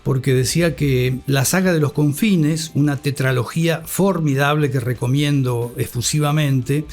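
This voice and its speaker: An Argentine man speaking thoughtfully as an interview guest and radio scholar, with deliberate delivery and a formal, learned vocabulary. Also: mid-range voice, darkish tone, faint music behind.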